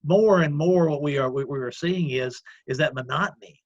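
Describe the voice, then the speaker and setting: Loud, earnest man. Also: a little high, moderate pace, dry recording, muffled recording. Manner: earnest, rambling, many fillers and restarts. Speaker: community action executive director. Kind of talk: casual interview talk. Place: at home, remote call.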